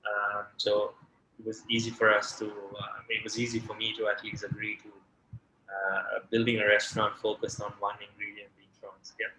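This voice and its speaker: Man, late 20s-30s, from Sri Lanka, low bright voice, webinar guest on an echoey call. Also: normal speed, a little hiss or hum. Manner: relaxed, many fillers and restarts. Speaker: restaurant founder and CEO. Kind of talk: webinar interview answers. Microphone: video call. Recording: echoey room with faint ambience.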